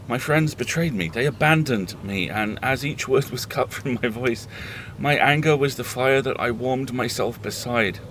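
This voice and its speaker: A man playing a weary, reflective horror host, speaking intimately to listeners in a deliberate, halting, earnest audio drama monologue. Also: medium pitch, slight background noise, lightly acted. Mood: hurt, bitter.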